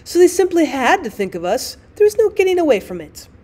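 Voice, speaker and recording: A woman's voice: sits high, big pitch swings, brisk, warm tone, voice pushed up. A woman voicing a weary, practical wife in a storybook, with wry, formal phrasing. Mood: matter-of-fact.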